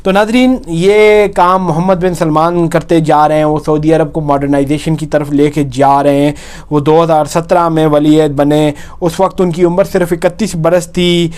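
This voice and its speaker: Male news commentator, Pakistani accent, smooth clear voice on the high side, explains and restates points. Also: fairly even intonation, normal speed, darkish tone. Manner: explanatory, often rephrasing and repeating points.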